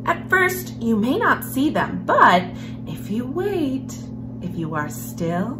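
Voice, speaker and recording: A young woman hosting a children's story time, reading a picture book aloud in an expressive storyteller's manner. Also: fairly high pitch, slow pace. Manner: expressive, animated storyteller, with hushed passages. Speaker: host of a children's read-aloud series. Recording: music behind the voice. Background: background music.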